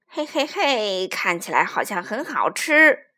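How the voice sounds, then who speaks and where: A menacing predator dinosaur from a storybook, performed by a woman, sly and leering. He draws his words out slowly with big swings in pitch. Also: big pitch swings, pushed and bright.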